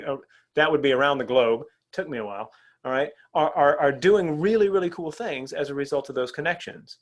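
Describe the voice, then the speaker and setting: A middle-aged man giving an energetic professional development talk to educators, chatty and animated, often checking in with the audience. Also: fairly high pitch. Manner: animated and conversational, asks the audience "right?". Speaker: presenter at an educator workshop.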